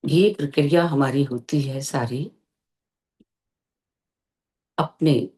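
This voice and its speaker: A meditation teacher leading a guided session, giving gentle instructions with long pauses between phrases. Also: medium pitch.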